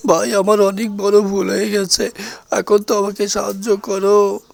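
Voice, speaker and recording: A man's voice: sits high, completely flat delivery, a little slow, creaky, dry recording. A man voicing a cowardly village husband in a cartoon, agitated and pleading with stammered repeats. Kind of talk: cartoon dialogue.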